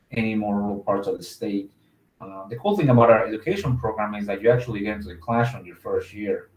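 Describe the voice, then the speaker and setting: A man's voice: fairly low pitch, darkish tone, echoey room, poor audio. A man from Mexico, an admissions officer, answering interview questions in a casual, upbeat way with many fillers.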